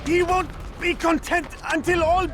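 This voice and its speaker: An actor playing a desperate, defiant captive in a video game cutscene, with music behind him. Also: male voice, extremely high pitch, level tone, unhurried, a bit bright, a little hiss or hum. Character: desperate, defiant captive patient, pleading and shouting. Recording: music under the voice.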